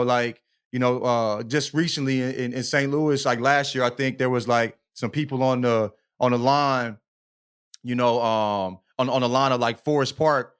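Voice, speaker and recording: A man's voice: mid-range voice, a bit bright. A man co-hosting a podcast in a loose, slangy conversational style, swearing freely and often checking in with his listener. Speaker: podcast co-host. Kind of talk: casual conversational podcast discussion.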